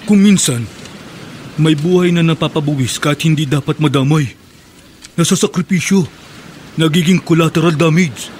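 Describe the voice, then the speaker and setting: A man's voice: fairly high pitch, moderate pace, pauses often, a little mellow, natural voice. An actor playing a secretive, hardened militant man who speaks insistently in a Filipino radio drama. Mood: stern, insistent. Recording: noisy scene with rain-like ambience.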